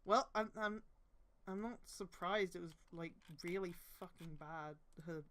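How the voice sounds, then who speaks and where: A woman's voice: on the low side, unhurried, crowd noise. A woman streamer reading fan fiction aloud, with casual, profane, exasperated asides and a mocking dramatic delivery. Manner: exasperated outbursts, often breaking into laughter and shouting.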